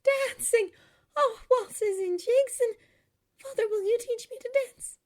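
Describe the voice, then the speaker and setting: A woman voicing an excitable young woman in a story, breathless and exclaiming with joy. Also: very high-pitched, measured pace, voice pushed up. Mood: giddy, thrilled. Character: excitable young woman overjoyed at first steps.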